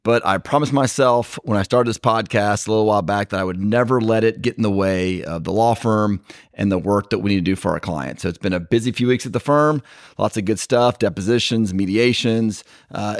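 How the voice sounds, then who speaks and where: Low-voiced man hosting a chatty podcast. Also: no room sound, clean studio sound. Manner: relaxed, rambling with fillers and restarts. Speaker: podcast host. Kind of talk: casual conversational podcast.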